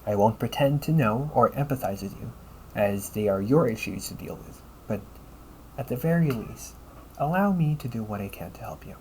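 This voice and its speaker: A man playing a polite, caring A.I. companion in an audio roleplay, speaking in measured, formal phrases and often checking with a questioning tag. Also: mid-range voice, expressive, mellow, slight background noise, natural voice, poor audio. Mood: earnest, reassuring. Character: polite, caring male A.I. companion, gently logical and encouraging. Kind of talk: audio roleplay addressed to the listener.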